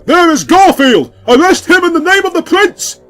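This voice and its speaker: A shrill, panicky royal guard from a comic cartoon. He cries out in a piercing high squeal, with music playing behind him. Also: big pitch swings, normal speed, a bit bright, full character voice. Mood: shrill alarm. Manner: shrill, crying out. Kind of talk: comic dramatic reading for web animation.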